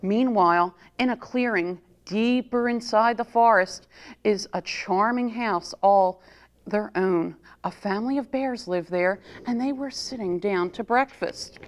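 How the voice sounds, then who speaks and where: A woman reading a children's story aloud as a storytime reader, in an expressive, deliberate manner. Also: mid-range voice. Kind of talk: expressive picture-book read-aloud for children.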